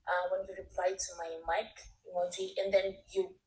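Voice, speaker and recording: A woman's voice: mid-range voice, monotone, normal speed, darkish tone, a little hiss or hum, poor audio. Hesitant Greek woman in a voice memo. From Greece. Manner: hesitant, lots of ums. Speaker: viewer sending a voice memo.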